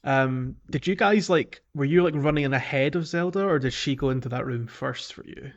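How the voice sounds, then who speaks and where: A man's voice: medium pitch, fairly quick, lo-fi sound. A man co-hosting a gaming podcast in casual conversation, jokey and given to chuckling. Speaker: podcast co-host.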